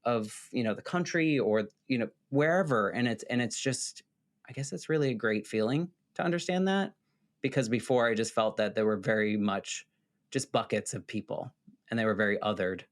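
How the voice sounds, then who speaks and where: A man's voice: mid-range voice, moderate pace. A man from the United States hosting a podcast, talking in an earnest, rambling way with many fillers. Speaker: podcast host. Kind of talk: casual interview conversation.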